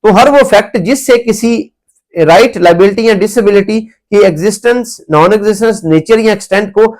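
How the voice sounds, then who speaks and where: A man's voice: high-pitched, moderate pace, a little mellow. A man with a Pakistani accent giving an explanatory law lecture, methodically rephrasing points and mixing in English legal terms.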